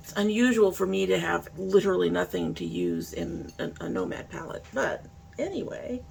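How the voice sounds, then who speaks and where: A woman's voice: lowish voice, very animated, moderate pace, gravelly, darkish tone, husky. A woman talking informally to camera as a beauty vlogger, thinking aloud in a casual makeup review.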